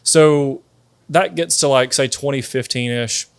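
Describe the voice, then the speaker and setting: A man's voice: medium pitch, unhurried, creaky, smooth, no echo. A man of thirty, a company founder, telling his story in a casual interview, chatty and full of "like" and "dude". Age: 30s. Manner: chatty, many fillers like "like" and "dude". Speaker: guest, sweet tea company founder.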